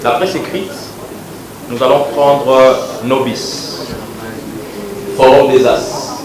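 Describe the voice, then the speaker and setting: A man's voice: medium pitch, level tone. A man moderating a press conference, calling on journalists and handing over the microphone. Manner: brisk, hands over the mic, hesitant fillers. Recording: echoey hall, far from mic, crowd.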